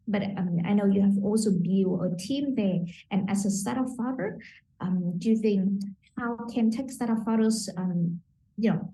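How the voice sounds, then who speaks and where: Brief: female moderator, mellow tone, hesitant fillers, roomy and distant recording. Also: medium pitch. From Vietnam. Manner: hesitant, many "um" fillers while shaping questions. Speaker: host, moderator. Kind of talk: moderating a panel discussion.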